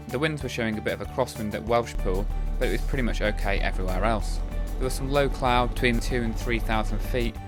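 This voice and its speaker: A man who pilots a small plane, narrating his flight in a casual vlog style. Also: medium pitch.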